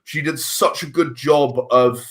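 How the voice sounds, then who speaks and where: A man's voice: on the high side, fairly even intonation, a little slow. A man chatting casually as a podcast guest, rambling and repeating words as he searches for his phrasing. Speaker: interview guest, filmmaker and audio drama writer.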